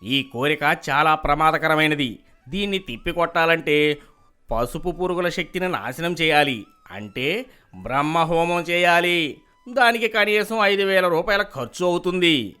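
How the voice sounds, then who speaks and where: A man voicing a pompous, fraudulent village priest in an animated moral story, giving grave and imperious warnings. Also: on the high side, a little slow, clean tone.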